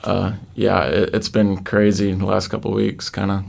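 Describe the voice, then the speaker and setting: Young male podcast guest, gravelly and flat, plain-spoken and casual. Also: low-pitched, normal speed. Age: young adult. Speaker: college soccer player, guest.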